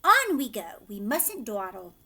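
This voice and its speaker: An exuberant candy-factory boss from a children's storybook, acted out by a woman reader. The voice is high and swoops about, brisk and teasing, stressing words with insistent, triumphant glee. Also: big pitch swings, bright, forceful tone, heavily acted. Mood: brisk, gleeful.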